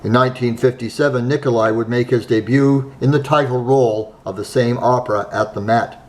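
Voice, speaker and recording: Man narrating in a measured, admiring tone. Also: mid-range voice, fairly even intonation. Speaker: host. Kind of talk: scripted narration.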